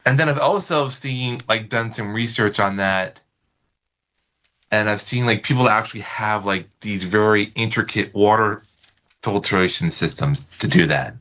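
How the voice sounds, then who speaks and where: A man's voice: fairly low pitch, unhurried, fairly bright tone. A man as a podcast guest, explaining things in casual, loosely phrased chat with tentative wording.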